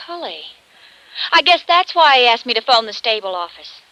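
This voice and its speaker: A woman's voice: on the high side, big pitch swings, moderate pace, sharp tone, no room sound, poor audio. An actress playing a cool, disdainful young rich woman in a 1950s radio drama, aloof and flippant. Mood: cool, evasive. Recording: vintage radio drama recording.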